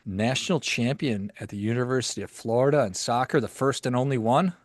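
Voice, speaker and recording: A man's voice: medium pitch, brisk, no room sound, clean studio sound. A man hosting a podcast, chatting casually and asking playful, teasing questions. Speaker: podcast host. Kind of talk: casual interview chat.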